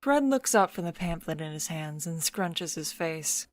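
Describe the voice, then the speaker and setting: A woman's voice: sits low, animated, unhurried. A woman narrating a scripted story in the present tense, as the storyteller between character lines.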